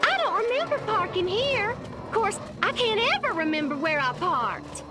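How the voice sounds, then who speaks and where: Very high-pitched ditzy beauty queen. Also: very animated, normal speed, loud music behind the voice. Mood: puzzled, airheaded. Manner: airheaded, breezy. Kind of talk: video game cutscene acting.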